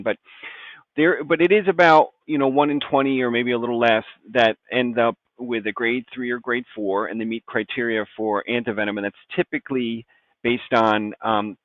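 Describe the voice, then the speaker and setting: A man's voice: fairly low pitch, dry recording. Middle-aged male doctor on phone-like line. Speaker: doctor, toxicology expert guest. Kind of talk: informal expert explanation. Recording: tinny phone-like band-limited line.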